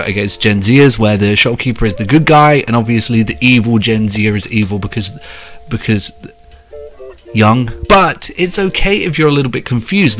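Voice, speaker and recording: A man in his late twenties giving a sarcastic, profane commentary monologue, with sudden pitch jumps for emphasis. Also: a bit bright. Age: 20s. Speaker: video commentator.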